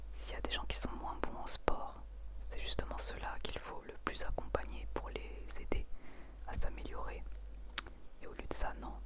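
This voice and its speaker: A woman in her thirties whispering softly through an ASMR question-and-answer session, pausing often with fillers. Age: 30s. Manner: soft whisper, hesitant with many fillers. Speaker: ASMR channel host.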